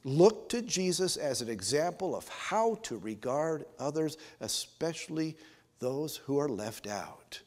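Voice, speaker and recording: A man in his sixties with an American accent, preaching and telling stories as a pastor, earnest and given to emphatic pauses, in a church. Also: fairly high pitch, sing-song, a little mellow. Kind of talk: sermon with storytelling. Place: church sanctuary, at the altar. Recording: reverberant church hall.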